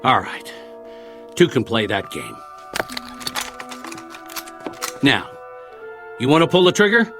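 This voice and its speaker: Weary survivor, colloquial, nostalgic, wary, mid-pitched, radio drama style, music underneath. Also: male voice, wildly swooping pitch, moderate pace. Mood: tense, daring. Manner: colloquial, rambling, folksy. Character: world-weary male survivor soldier, chatty, nostalgic, wary.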